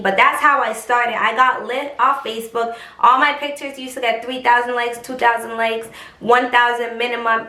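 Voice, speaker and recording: Chatty young woman with a bright voice. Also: reverberant. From New York. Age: 20s. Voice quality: high, squeaky voice. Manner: chatty, frank, slangy. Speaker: vlogger. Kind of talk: casual talk to camera.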